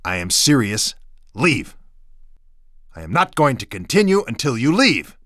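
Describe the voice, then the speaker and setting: Lively, folksy male resistance fighter. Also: mid-range voice, a little slow, no echo, studio quality. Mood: fed up. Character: earnest, easily exasperated male resistance fighter and instructor. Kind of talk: video game voice acting.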